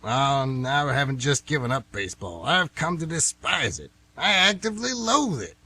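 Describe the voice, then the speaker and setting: Man acting a stubborn, husky old grumbler, animated. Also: mid-range voice, moderate pace, heavily acted. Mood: scornful. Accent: American. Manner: emphatic, grumbling, folksy. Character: stubborn, indignant old male former baseball fan. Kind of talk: radio play acting.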